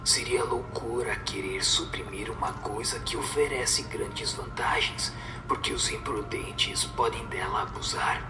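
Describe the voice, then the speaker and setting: A man playing a solemn spirit teacher in a radio drama, speaking in a measured, didactic and breathy whispered voice. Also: fairly low pitch, lightly acted. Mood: solemn instructive. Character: solemn male spirit teacher giving moral answers. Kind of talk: scripted radio drama recitation. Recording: echoing voice over music.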